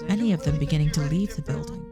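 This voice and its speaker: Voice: medium pitch, quick pace, creaky, music in the background. A narrator reading scripted storytelling for an audio drama.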